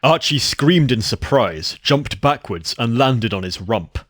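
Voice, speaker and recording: A man's voice: medium pitch, expressive, a little slow, a bit bright, no echo, studio quality. A man giving a scripted narration of a horror story.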